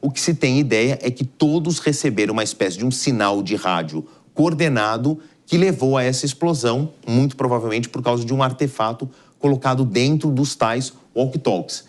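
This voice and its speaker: A man's voice: medium pitch, fairly quick, few pauses. Brazilian man explaining the news, didactic and emphatic. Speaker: news analyst. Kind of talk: live explanatory news commentary.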